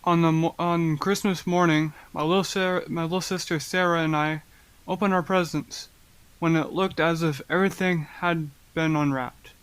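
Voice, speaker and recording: Teen boy storyteller, high smooth voice, dry recording. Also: steady tone, normal speed, a bit bright, no room sound. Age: teenager. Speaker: student narrator in a school radio play. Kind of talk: scripted storybook narration.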